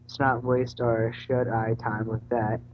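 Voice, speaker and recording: A man's voice: medium pitch, little pitch movement, measured pace. Man reading fanfiction, dull lo-fi recording. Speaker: reader in a group fanfiction reading. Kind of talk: casual story read-aloud with character dialogue. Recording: dull, faintly noisy home recording.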